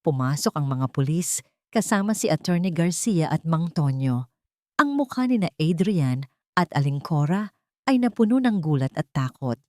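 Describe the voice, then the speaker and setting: A woman narrates a scripted love drama. Her voice sits a little low. The recording is dry and close, with very little room in it. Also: lively intonation, normal speed, darkish tone, no echo. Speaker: storyteller.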